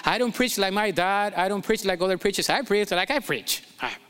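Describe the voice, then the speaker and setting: A man's voice: high voice, brisk, a bit bright, clear, resonant tone, in a large hall. A pastor from Colombia preaching a sermon in English with a Colombian Spanish accent, emphatic and repeating his key phrases.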